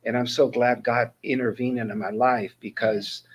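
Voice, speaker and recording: A man's voice: medium pitch, little pitch movement, normal speed, slightly rough, highs cut off. A man in his sixties from Northern California, a ministry mentor coordinator telling his life story as an interview guest, earnest and prone to restarting his sentences. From Northern California, USA. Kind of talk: casual interview talk, personal testimony.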